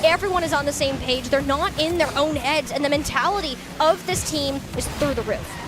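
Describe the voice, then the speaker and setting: High-voiced excitable young woman caster. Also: a little fast. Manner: excitable, hyping players, rising loud peaks. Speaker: esports caster. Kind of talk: colour commentary. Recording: faint game music behind.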